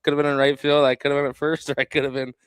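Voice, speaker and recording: Clear-voiced, easygoing young man. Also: mid-range voice, flat delivery, racing, no room sound. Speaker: assistant baseball coach, interview guest. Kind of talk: casual interview chat.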